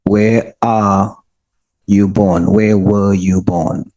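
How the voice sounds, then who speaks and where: A man, a committee member pressing a witness with slow, pointed, repeated questions in a parliamentary hearing. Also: fairly low pitch, fairly even intonation, warm tone.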